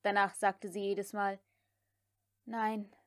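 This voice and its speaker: A woman's voice: medium pitch, normal speed, dry recording, clean studio sound. A woman reading a novel aloud as scripted audiobook narration.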